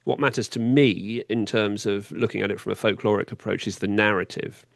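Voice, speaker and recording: A man's voice: fairly low pitch, steady tone, fairly quick, creaky, no room sound, clean studio sound. A British man hosting a podcast interview, talking conversationally and reflectively, building points through repetition and questions.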